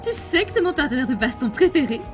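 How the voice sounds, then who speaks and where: Woman as scrappy, high-pitched demon brawler girl. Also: quick pace. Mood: eager. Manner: chummy, excitable, slangy. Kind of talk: amateur cartoon fandub acting in French. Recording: loud music behind the voice.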